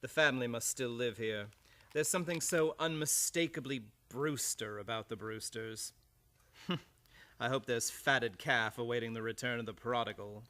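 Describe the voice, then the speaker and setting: A male actor as a sinister killer come home in an old-time radio play. His voice is very sharp and forceful at a medium pitch, and he draws his words out slowly and ominously. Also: natural voice. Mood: sinister, nostalgic. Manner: slow, ominous, drawling delivery. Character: menacing grown nephew, a killer with a altered face.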